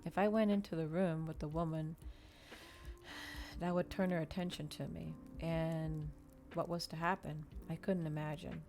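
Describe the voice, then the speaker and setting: A woman with a low voice reads a story aloud for an ASMR stream, close to the microphone. Her delivery is soft and unhurried, with music playing underneath. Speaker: VTuber streamer reading aloud.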